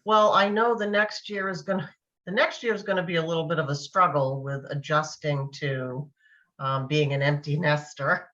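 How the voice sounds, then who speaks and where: Woman, middle-aged, deep register, hesitant, reflective chat, dull lo-fi recording. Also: fairly even intonation, normal speed, fairly bright tone. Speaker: panel guest.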